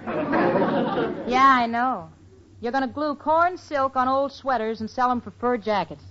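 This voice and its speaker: A woman's voice: a little high, measured pace, fairly bright tone, a faint crowd. An American actress playing a sensible, wry young woman with a sarcastic manner in a 1940s radio comedy. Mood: sardonic. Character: wisecracking, sensible young female roommate, wry. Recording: dull noisy old radio, audience behind.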